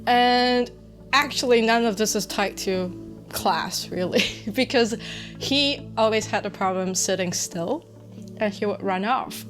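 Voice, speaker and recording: A woman in a casual interview tells fond stories in a chatty way, with plenty of fillers. Her pitch is ordinary, and faint music plays underneath. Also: measured pace, fairly bright tone. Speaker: interviewee, English teacher abroad.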